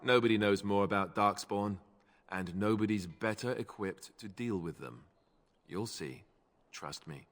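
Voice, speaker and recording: Guy playing a young knight type in a video game, earnest n modest, lowish crisp voice, big reverb. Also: a little slow, natural voice. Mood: earnest, explaining. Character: young male warrior of a knightly order, earnest, self-deprecating.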